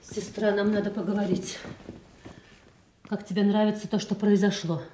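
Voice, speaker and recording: A woman's voice: mid-range voice, flat delivery, normal speed, dark tone, slight background noise, lightly acted. A woman playing a cold, calculating elder princess conspiring in a classical radio drama. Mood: scheming. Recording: echoey studio radio play.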